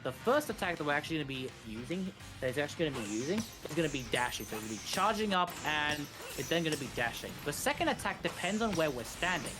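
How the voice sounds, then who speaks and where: Higher-voiced man explaining a game speedrun live. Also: big pitch swings, fast, few pauses. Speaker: speedrun commentator. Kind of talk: live gameplay commentary, explanatory. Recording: music behind the voice. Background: game music.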